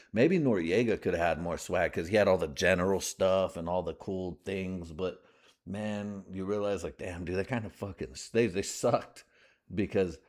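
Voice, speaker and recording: Low-voiced, slangy podcast host. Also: male voice. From United States. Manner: slangy, profane, rambling with many fillers. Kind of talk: casual rambling podcast monologue.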